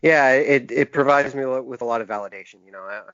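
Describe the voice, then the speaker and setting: A middle-aged man talks casually as a podcast guest, pausing and restarting as he reflects on himself. His voice sits at an average male pitch. The recording is very dry and a little dull. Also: a bit bright, smooth, no echo. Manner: hesitant, halting, self-reflective. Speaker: podcast guest, author and small publisher. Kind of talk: casual interview conversation.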